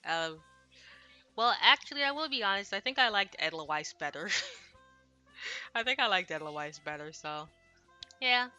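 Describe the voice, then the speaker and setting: A woman's voice: mid-range voice, animated, moderate pace, pauses often, bright, forceful tone, soft background music. A woman streamer chatting casually on a livestream in a rambling, self-deprecating, apologetic way, speaking into a headset. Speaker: streamer translating a Japanese visual novel.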